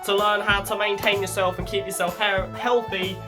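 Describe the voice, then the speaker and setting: A young man with a blocked, croaky voice from a head cold, talking bluntly and chattily as a self-help vlog host. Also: sits high, little pitch movement, moderate pace, music in the background. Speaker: channel host.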